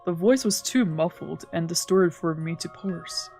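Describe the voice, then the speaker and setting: Woman, slightly low voice, expressive visual novel narration, dramatic storytelling, background music. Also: a little slow, gravelly. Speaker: streamer reading a visual novel aloud. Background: game background music.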